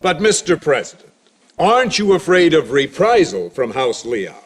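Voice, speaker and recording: An actor playing a pressing news reporter calling out questions at a press conference in a video game. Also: male voice, a little high, very animated, moderate pace, husky. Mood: urgent, probing. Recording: reverberant hall.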